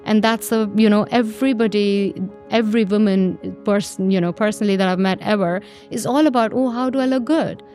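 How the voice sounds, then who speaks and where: A woman's voice: medium pitch, fairly quick, hardly any pauses, darkish tone, faint music behind. A woman in her thirties from Pakistan, chatting easily as a guest designer, with a ready laugh. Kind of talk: casual interview chat.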